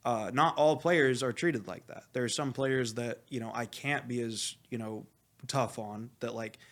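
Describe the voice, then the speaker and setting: Male coach, animated and outspoken, rambling candidly in a casual interview, mid-range voice. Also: mid-range voice, measured pace. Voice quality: loud voice. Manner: animated, rambling, heavy use of "like"; blunt, swears freely. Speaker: esports coach being interviewed.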